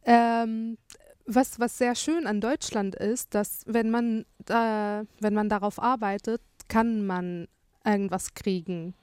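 A woman from Syria, a TV journalist speaking as a radio guest, thoughtful and pausing often to find words. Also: mid-range voice, fairly even intonation, a little mellow, clean tone, no echo, studio quality. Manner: thoughtful, searches for words with frequent hesitations. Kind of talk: radio interview.

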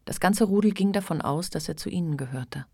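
A woman's voice: lowish voice, fairly quick, mellow, husky, dry recording, clean studio sound. A woman narrating a radio play in a literary, scripted style.

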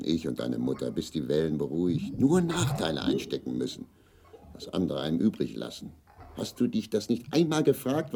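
An actor in a radio play playing an embittered, officious, callous father with a gravelly voice and a scolding, bureaucratic manner. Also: deep voice, mellow, a little hiss or hum, exaggerated voice. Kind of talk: German radio play acting, grotesque.

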